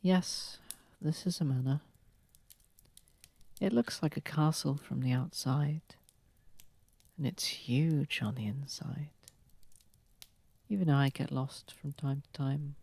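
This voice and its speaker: Husky creaky voice, posh vampire lord acted, soothing, formal, protective, ASMR roleplay, close dry mic. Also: medium pitch, dry recording, full character voice. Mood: soothing, warm. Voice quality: breathy, husky, slightly creaky. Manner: soft-spoken, soothing, unhurried, slightly formal. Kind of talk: ASMR roleplay, soft intimate acting. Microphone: close microphone, dry.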